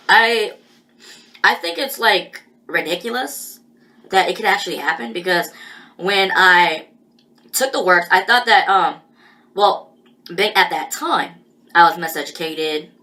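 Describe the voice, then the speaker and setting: A woman talking through a personal monologue in a halting, rambling way, with many pauses and restarts. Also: on the low side, sharp tone.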